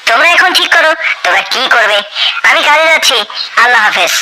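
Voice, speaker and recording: A woman's voice: a little high, expressive, fast talker, heavy background noise, close to an everyday voice. A woman voicing a patient, pious father and husband in a cartoon, firm and moralizing.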